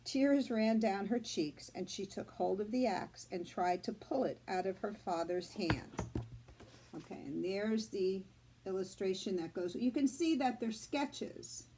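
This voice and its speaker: A woman doing a read-aloud for young children, explaining simply with deliberate emphasis, like a teacher. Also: mid-range voice, background noise. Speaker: children's story reader, school media specialist.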